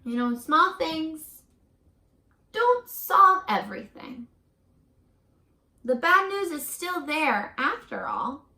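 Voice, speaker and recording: High-voiced woman reading slowly to children. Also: sing-song, echoey room. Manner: gentle, encouraging, addresses young children directly. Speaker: teacher reading a picture book aloud to children. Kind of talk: animated children's read-aloud with questions to the listener.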